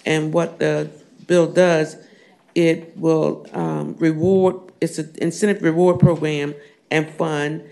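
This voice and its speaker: A hoarse man with a scratchy voice, a state senator formally presenting bills in a committee room, reading the text at length with many fillers. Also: on the high side, flat delivery, takes it slowly, a little mellow. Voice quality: scratchy, gravelly. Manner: reads bill text at length with frequent fillers. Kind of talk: formal legislative bill presentation.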